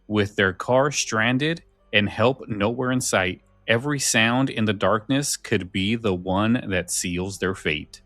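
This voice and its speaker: A man's voice: fairly low pitch, slow pace, few pauses, fairly bright tone, no room sound. A man hosting a podcast in casual conversation about scary stories, often repeating words as he feels his way along. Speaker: podcast host.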